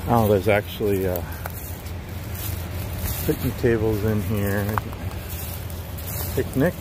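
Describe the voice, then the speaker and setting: A man's voice: on the low side, slow. A middle-aged man, chatting casually and folksily in a rambling outdoor vlog. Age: middle-aged, 50s. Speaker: vlogger, truck driver. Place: outdoors at a highway rest area. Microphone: handheld phone or camera at arm's length. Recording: outdoor handheld recording with clear background noise.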